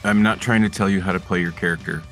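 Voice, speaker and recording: A man's voice: low voice, fairly even intonation, fast talker, fairly bright tone, faint music behind. A man playing a tabletop game, chatting casually about rules and tactics.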